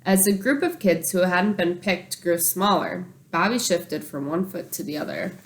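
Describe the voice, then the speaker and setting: A woman reading a children's book aloud like a teacher, expressive and animated, acting out the dialogue. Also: lowish voice, normal speed.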